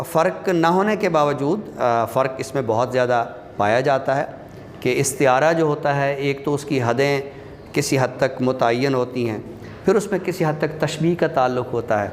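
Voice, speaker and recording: Man lecturing in a reverberant, noisy hall. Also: mid-range voice. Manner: explanatory, addresses listeners directly. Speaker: literature lecturer. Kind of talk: classroom lecture on literature. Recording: reverberant hall, clear background noise.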